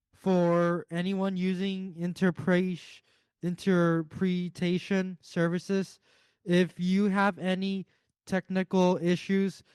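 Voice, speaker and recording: A man co-hosting a forum, giving scripted access announcements in a measured, careful way. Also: high-pitched, darkish tone, clean tone, dry recording. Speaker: event co-host. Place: hotel ballroom event.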